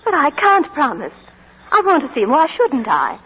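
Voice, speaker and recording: A high, lilting young lass. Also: female voice, wildly swooping pitch, normal speed. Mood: pleading. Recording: tinny 1940s radio broadcast with music.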